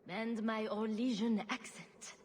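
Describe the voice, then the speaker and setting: A woman's voice: on the high side, fairly even intonation, a little slow, darkish tone, slight background noise, lightly acted. An actress voicing a wisecracking woman champion in a video game, with a dry, flippant, sarcastic manner. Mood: dry humor.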